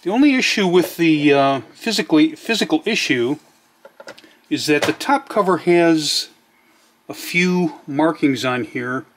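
A man with a somewhat high-pitched voice walks through a piece of equipment. His delivery is plainspoken and matter-of-fact, like an informal hands-on demonstration. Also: lively intonation, unhurried, slight background noise. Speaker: demonstrator of vintage electronics. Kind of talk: casual product demonstration narration.

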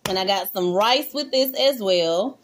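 A woman in her thirties with a Southern American accent, chatting excitedly to viewers while she cooks in her small home kitchen, moving toward and away from a camera set on the counter. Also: medium pitch, a little slow. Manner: chatty and excitable, calls viewers y'all and honey. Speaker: vlogger. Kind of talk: casual cook-along chat. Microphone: phone or camera set on the counter, she moves around it.

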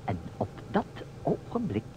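A man's voice: mid-range voice, wildly swooping pitch, unhurried. An actor playing a playful, insistent man who stages a detective puzzle in a radio play, with a teasing, emphatic manner. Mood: playfully insistent. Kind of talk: Dutch radio play acting. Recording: dull, noisy old radio recording.

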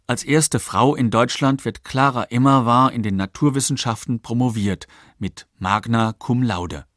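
Low-voiced male documentary narrator. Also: a bit bright, dry recording, studio quality. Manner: factual, measured. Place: dry studio.

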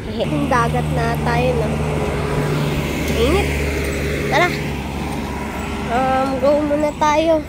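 A woman's voice: high voice, slow, long pauses, very noisy. A young woman vlogging on a road trip, chatting to her viewers and her dog on a phone held at arm's length. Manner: chatty, thinks aloud with fillers, talks to viewers and her dog. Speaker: travel vlogger. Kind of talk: casual road trip vlog. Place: outdoors by a roadside and in a seaside restaurant.

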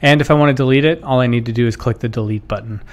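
A man in his thirties narrates a software walkthrough. His voice sits at a medium pitch, and he explains each step informally, as a presenter would in a tutorial. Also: rapid-fire. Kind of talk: casual instructional screen-share narration.

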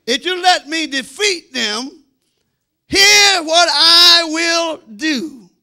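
A middle-aged man preaching an animated, emphatic sermon, repeating phrases and calling for response in a church, on a handheld microphone. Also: very high voice, very slow. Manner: emphatic, repeating phrases, swinging between shouts and quieter asides. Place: church sanctuary, speaking from the front.

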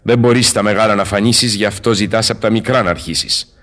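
An actor playing a weary, bitter, commanding old scholar in radio theatre, speaking verse in a grave, declamatory way. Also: male voice, lowish voice, steady tone, fairly bright tone, lightly acted. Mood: probing, scornful.

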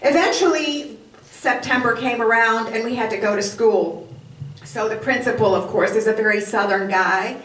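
Older lady storyteller, yiddish first language, highish voice, roomy hall a bit far off. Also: moderate pace, slight background noise. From Poland. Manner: animated, does voice imitations. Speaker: lecturer. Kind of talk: storytelling lecture. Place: hall with curtains, indoors. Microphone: handheld mic.

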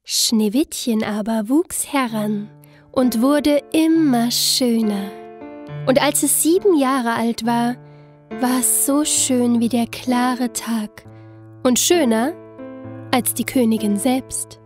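A woman narrating a scripted fairy tale in an expressive, storybook manner. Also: on the high side, faint music behind. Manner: expressive, unhurried storybook telling.

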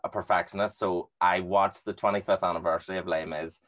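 A young man with a deep bass voice hosts a relaxed chat through a headset mic in a small home room. He talks low and easygoing, with restarts and small stammers as he gathers his thoughts. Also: brisk. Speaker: podcast host. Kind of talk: casual conversational interview. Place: small bedroom studio at home, indoors. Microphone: headset with microphone on a video call.

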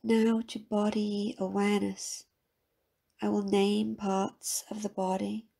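A woman guiding a scripted meditation in a calm, measured, soft-spoken manner with a husky, breathy voice. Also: mid-range voice. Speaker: meditation guide. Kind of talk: guided meditation, scripted soothing narration.

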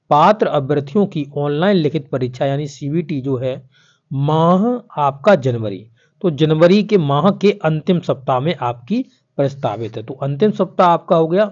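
A man's voice: on the high side, a little mellow. A man talks through notices for his online viewers. He is instructional and repeats key points as he explains. The voice-over sounds dull and lo-fi. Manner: repetitive, instructional, addressing viewers directly. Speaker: exam news YouTuber.

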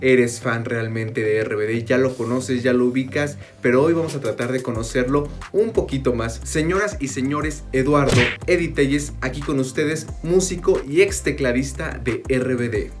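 A man's voice: medium pitch, moderate pace, hardly any pauses, music in the background. A man in his thirties hosting an interview over a video call from a living room, with upbeat, announcer-like greetings. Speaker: interview host. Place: living room with a sofa, indoors. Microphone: webcam, video call.

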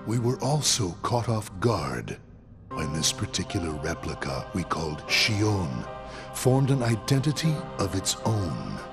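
A cold leader of a sinister cloaked order in a video game. His low voice swells and falls in grand, formal phrases, unhurried over background music. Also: sing-song, creaky, full character voice. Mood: measured menace. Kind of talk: dramatic video game voice acting.

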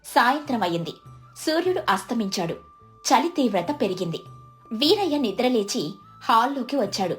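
A woman's voice: on the high side, very animated, normal speed, pauses often, soft background music. A woman narrating a scripted moral story as a storyteller.

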